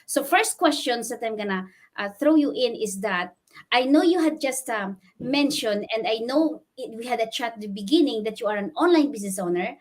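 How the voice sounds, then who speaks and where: A woman with a high voice hosts a live online interview. She is effusive and emphatic, speaking straight to viewers and repeating phrases to drive them home. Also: animated, normal speed. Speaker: host interviewing a guest. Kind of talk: livestream interview hosting.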